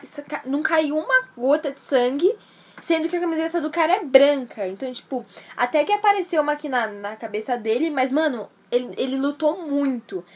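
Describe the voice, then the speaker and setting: A teenage girl from Brazil talking casually to a phone camera held at arm's length, animated and slangy. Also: high voice, husky. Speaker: vlogger. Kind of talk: casual day-in-the-life vlog. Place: bedroom and shopping mall, indoors. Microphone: handheld phone camera at arm's length.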